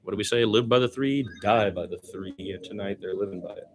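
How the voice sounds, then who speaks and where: A man's voice: fairly low pitch, a little fast, background noise. A man doing informal solo basketball play-by-play commentary, conversational and given to chatty asides.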